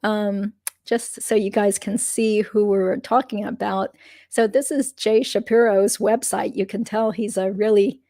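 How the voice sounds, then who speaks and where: A woman hosting a legal commentary livestream, chatting casually with fillers and asides. Her voice is slightly croaky. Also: mid-range voice, dark tone, dry recording, studio quality.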